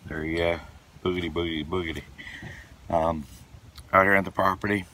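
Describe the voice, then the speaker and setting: Hoarse middle-aged man, very low voice, casual rambling vlogger, outdoor noise behind him. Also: steady tone, fairly quick, sharp tone. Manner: rambling, lots of fillers and restarts. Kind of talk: casual outdoor vlog narration. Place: outdoors on wooded property.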